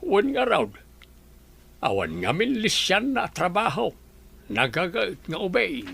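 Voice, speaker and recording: Guy acting as an old village grandpa in a radio drama, gravelly, highish, friendly. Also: huge pitch swings, slow pace, fairly bright tone, full character voice. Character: friendly old village man with a fruit tree.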